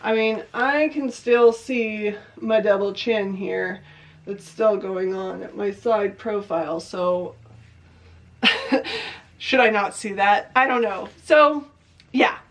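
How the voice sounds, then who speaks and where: A woman's voice: medium pitch, slow pace. A young adult woman with a stuffy, congested voice, chatting casually and rambling through her thoughts in a diet vlog. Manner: chatty, rambling, self-questioning. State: congested from a cold. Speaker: vlogger.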